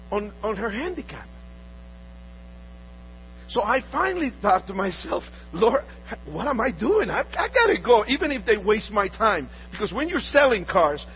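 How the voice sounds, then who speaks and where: A man from Honduras earnestly telling personal stories, often repeating words and restarting as he talks. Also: high voice. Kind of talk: storytelling talk. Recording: dull audio with faint mains hum.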